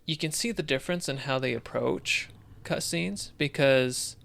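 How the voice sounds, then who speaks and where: A man co-hosting a podcast, casually discussing video games, thinking aloud in a halting way and posing questions as he goes. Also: medium pitch, gravelly, fairly bright tone. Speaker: podcast co-host.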